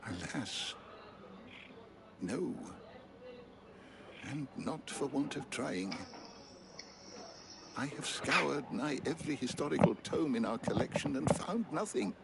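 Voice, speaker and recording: A man's voice: medium pitch, very noisy. An actor playing a learned, courtly male scholar who speaks in formal, archaic phrases.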